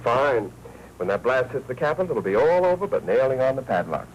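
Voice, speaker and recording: An American actor playing a wry, probing newspaper reporter in clipped 1940s film noir style. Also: male voice, mid-range voice, big pitch swings, brisk, natural voice. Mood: breezy, wry. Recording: muffled, noisy 1940s film soundtrack.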